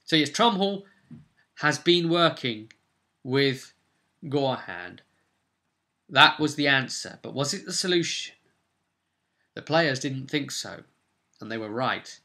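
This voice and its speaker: Bright, forceful man telling stories. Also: mid-range voice, slow, long pauses. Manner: rambling, animated asides to viewers. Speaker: game master recapping his tabletop campaign. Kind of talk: casual video recap, storytelling.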